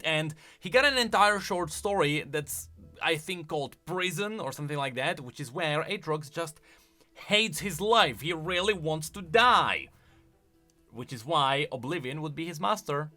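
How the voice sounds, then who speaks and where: Male lore video host, lively swooping intonation, bright voice, casual emphatic commentary, faint music. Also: fairly high pitch.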